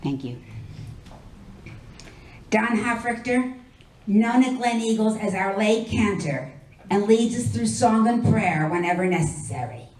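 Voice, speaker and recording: A woman presenting a ceremony, calling people up in a measured way with short introductions. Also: mid-range voice, expressive, darkish tone. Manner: measured, announcing names and short bios. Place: hall at a memorial ceremony. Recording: echoey hall, mic at a distance, faint ambience.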